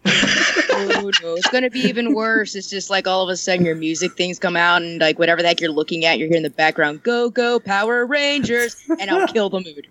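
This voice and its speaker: A woman's voice: mid-range voice, brisk, fairly bright tone, highs cut off, slight background noise. A woman chatting casually on a podcast, rambling and joking, laughing often. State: ill. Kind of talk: casual chat.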